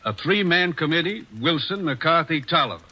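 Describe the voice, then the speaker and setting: An actor playing a grave, worried governor questioning an aide in an old radio drama. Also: male voice, fairly high pitch, expressive, normal speed. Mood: troubled. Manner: grave, probing. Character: worried middle-aged male governor. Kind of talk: 1940s radio acting. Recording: dull old radio broadcast.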